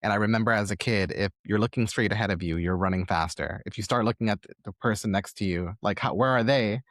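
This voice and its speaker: A man's voice: fairly low pitch, fast, a bit bright, dry recording, studio quality. A man hosting a conversational interview, encouraging and affirming, asking long reflective questions. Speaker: podcast host, interviewer.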